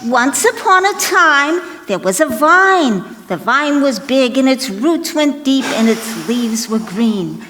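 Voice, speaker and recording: A woman's voice: high-pitched, very animated, slow pace, few pauses, fairly bright tone, long reverb tail, heavily acted. A woman playing a brash, grumpy, wisecracking substitute storyteller in a comic children's skit. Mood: theatrical narrating. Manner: sassy, exasperated, theatrical reading.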